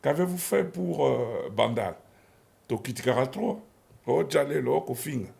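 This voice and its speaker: A man's voice: mid-range voice, lively intonation, measured pace, creaky, darkish tone. A man hosting a TV talk show, chiming in often with short agreements and closing the segment. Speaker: TV host.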